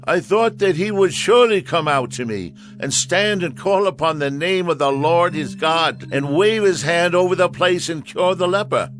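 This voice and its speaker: An actor playing a proud, haughty army commander, declaiming in a dramatized audio Bible. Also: male voice, on the high side, animated, normal speed, hardly any pauses, soft background music, close to an everyday voice. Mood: indignant. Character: proud army commander Naaman, adult Syrian man.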